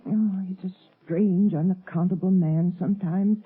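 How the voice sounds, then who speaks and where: A woman playing an uneasy, puzzled wife with a husky voice in a 1930s radio drama, on a tinny recording. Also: fairly low pitch, steady tone, normal speed.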